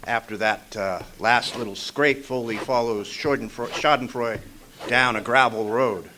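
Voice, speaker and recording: An actor playing a sardonic, hardboiled private eye narrating a radio detective parody. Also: male voice, mid-range voice, unhurried, sharp tone, a little hiss or hum. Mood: dry narrating.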